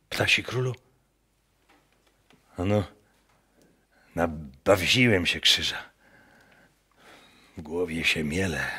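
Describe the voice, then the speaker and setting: Husky, low-voiced Masurian peasant. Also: male voice, fairly bright tone, heavily acted. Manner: praying, musing, rustic. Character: old pious Masurian peasant man, haunted by a dream. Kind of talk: radio drama monologue in folk dialect. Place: performed live in a wooden barn.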